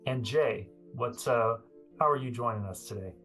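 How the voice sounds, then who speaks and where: A man running a tabletop game as game master, chatty, laughing often and filling pauses with ums. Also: medium pitch, fairly bright tone, faint music behind.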